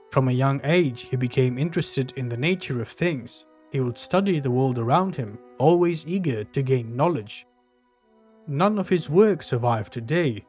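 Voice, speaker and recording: A man's voice: medium pitch, a little mellow. Man narrating a history documentary. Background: faint background music.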